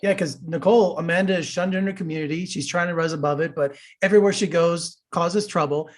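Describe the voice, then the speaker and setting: A man's voice: fairly high pitch, fast. Chatty male film critic interviewer. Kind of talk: casual interview.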